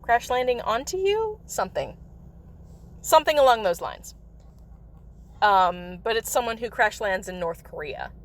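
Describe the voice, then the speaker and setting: Chatty woman streamer, a bit raspy. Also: fairly high pitch, very animated, measured pace, long pauses. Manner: rambling, chatty, with frequent laughs. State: slightly raspy from coughing. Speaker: livestream host. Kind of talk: casual chat while playing a mobile game.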